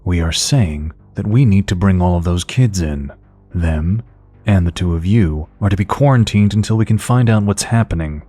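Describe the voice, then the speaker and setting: An actor playing a grave, authoritative FBI agent in an audio drama. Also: male voice, sits low, normal speed, warm tone, faint music behind. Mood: commanding. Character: firm, official male FBI agent.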